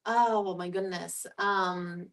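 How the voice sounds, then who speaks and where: A woman with a creaky, fairly low voice, recorded a bit away from the microphone. She talks casually and reflectively as a guest in a group discussion. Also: fairly even intonation, slow, fairly bright tone. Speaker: guest discussion facilitator, clinical social worker. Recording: distant microphone.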